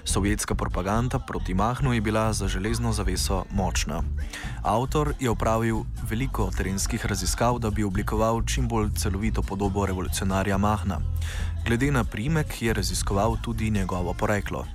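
Male narrator, lowish pitch, scripted documentary read with clear music bed underneath. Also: a little fast. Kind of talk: scripted radio-feature narration.

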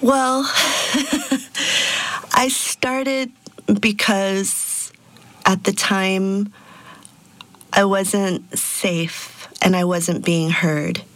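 A woman's voice: mid-range voice, steady tone, very slow, pauses often, a bit bright, no echo. A woman in her thirties, an artist born in Peru and raised in Texas, answering interview questions in a reflective way with many fillers. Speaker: sound artist and DJ.

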